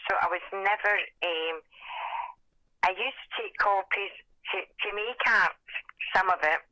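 An older woman from Scotland with a Scottish accent and a hoarse voice, chatting and reminiscing in an interview over a phone call. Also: mid-range voice, measured pace, bright, forceful tone, no echo. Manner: chatty, self-deprecating reminiscing. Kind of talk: oral history interview. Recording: phone call, dry sound.

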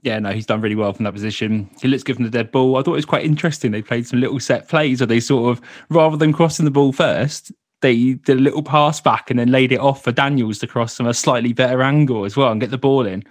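A man of middle pitch hosts a football podcast in a chatty, easygoing way. He often breaks off and restarts mid-sentence, and his voice is dry and close to the mic. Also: steady tone, fast talker, dry recording. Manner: chatty, self-interrupting. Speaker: podcast host. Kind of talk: casual football chat.

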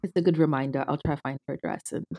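Low-voiced Zimbabwean woman, thoughtful. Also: fairly even intonation, rapid-fire, gravelly, warm tone. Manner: thoughtful, with many restarts and fillers. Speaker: guest. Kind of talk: casual conversational interview.

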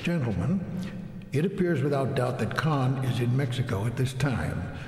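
A man's voice: medium pitch, lively intonation, normal speed, crowd noise, close to an everyday voice. An actor playing a cold, officious male FBI director dictating a memo in a clipped, formal, bureaucratic manner for a radio drama. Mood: coldly official. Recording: echoey hall-like reverb, music underneath.

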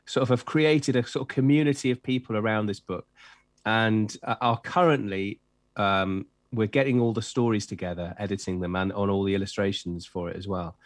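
A man's voice: fairly low pitch, fairly even intonation, normal speed, no echo. Enthusiastic chatty middle-aged man, dry sound. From Wales. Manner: enthusiastic, chatty with many fillers and restarts. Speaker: children's author and editor. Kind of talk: interview chat.

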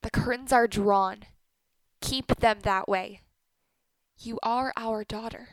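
A woman's voice: a little high, slow, dry recording, low-quality recording. A woman acting in a radio play as a stern, controlling middle-aged mother, speaking in clipped, pointed phrases. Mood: firm, controlling. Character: Miriam, stern married Turkish woman, a controlling, sharp-tongued mother.

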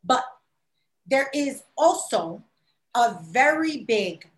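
A woman with a New York accent that leans Puerto Rican chats in an animated, storytelling way, slipping into quoted voices. Her voice sits a little high. The room around her rings with echo. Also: slow. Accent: New York Puerto Rican-influenced. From New York City. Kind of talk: casual conversation. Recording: echoey room.

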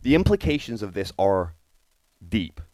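A man's voice: lowish voice, very animated, unhurried, mellow, dry recording. A man hosting a podcast, giving indignant, emphatic commentary on current affairs and repeating phrases for stress. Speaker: podcast host.

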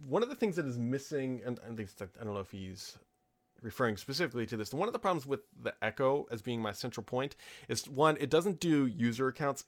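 Casual man hosting a tech podcast. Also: mid-range voice. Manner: opinionated, conversational, frequent ums and asides. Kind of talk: casual solo podcast chat. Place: home office.

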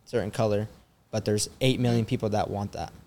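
A man's voice: on the low side, fairly even intonation, brisk, a little mellow. A young man in his twenties, a guest on a podcast, talking casually with many fillers and a little nervous. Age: young adult. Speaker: guest, store owner. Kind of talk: casual interview chat.

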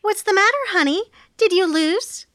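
A woman's voice: extremely high pitch, normal speed, fairly bright tone, dry recording, clean studio sound. A woman playing a teasing, matter-of-fact sitcom wife in an amateur comedy table read. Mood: breezy.

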